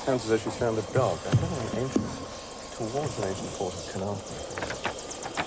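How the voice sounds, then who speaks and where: A man playing as a studio game show contestant, excitable and urgent, talking over the others. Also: lowish voice, normal speed, heavy background noise. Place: TV studio set.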